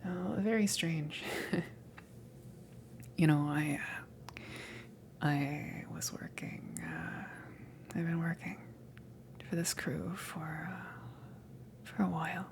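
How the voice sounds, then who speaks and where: A low, slightly creaky whisper belongs to this friendly alien salvage crew member, acted by a woman. The delivery is unhurried and hesitant, an easygoing, awkward ramble in an intimate ASMR roleplay style, soft and breathy throughout. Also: fairly even intonation, a little hiss or hum, exaggerated voice. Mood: casual, hesitant. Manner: hesitant, halting, casual rambling.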